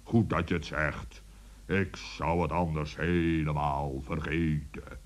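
A man playing a huge, good-natured wizard giant in a children's radio play, talking in a deliberate, kindly way. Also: rumbling low, steady tone. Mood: drowsy, amiable.

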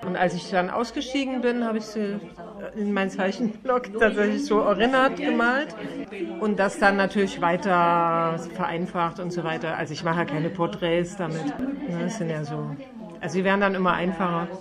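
A woman's voice: fairly low pitch, fairly even intonation, normal speed. A woman artist answering questions casually in an interview recorded in a shop. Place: small shop or gallery indoors. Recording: busy background chatter, recorded on location.

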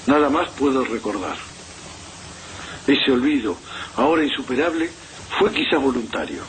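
A man giving a measured, formal literary reading. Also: mid-range voice, sing-song, a little hiss or hum, low-quality recording.

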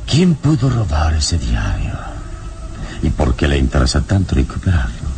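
Wise solemn adventure hero, really deep voice, swooping intonation, old radio serial w/ faint noise. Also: male voice, wildly swooping pitch, creaky, darkish tone, heavily acted. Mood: pensive, solemn. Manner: measured, probing, solemn. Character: calm, probing heroic adventurer, wise master.

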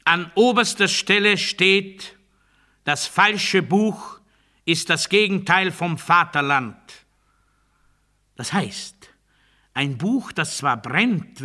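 A regimented man obsessed with obedience in a literary radio play. His voice sits rather high, with a very sharp, forceful edge. He delivers absurd doctrine deliberately, as a declamation. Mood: quiet, controlled confiding. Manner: deliberate, declamatory, swinging from hushed confiding to strident proclamation.

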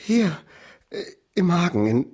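An actor playing a gravely ill baron in agony, halting and strained, in a German radio crime drama. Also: male voice, high-pitched, sing-song, darkish tone, full character voice. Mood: agonised. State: in pain, halting.

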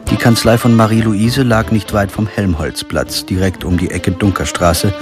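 A man playing a lawyer who narrates a crime radio drama in a measured, understated way. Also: on the low side, steady tone, lightly acted. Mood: sober, reflective. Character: male lawyer, sober narrator of a crime story. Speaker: narrator and lead actor. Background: music.

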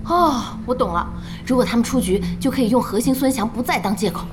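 Actress as an expressive, outspoken young woman. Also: a little high, a little fast, over music, close to an everyday voice. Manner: direct, questioning, expressive. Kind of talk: Chinese radio drama acting.

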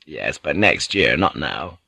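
A man's voice: rumbling low, very animated, slow, gravelly, sharp tone, husky, no echo, clean studio sound, voice pushed down, full character voice. A man voicing an earnest, plain-spoken English ship's pilot in an audiobook. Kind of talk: audiobook character voice.

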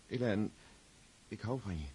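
A man's voice: lowish voice, lively intonation, unhurried, darkish tone, a little hiss or hum. An actor playing an awkward, hesitant young suitor, murmuring his thoughts breathily in a radio drama. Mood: nervous. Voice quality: breathy, whispered. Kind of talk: radio drama inner monologue.

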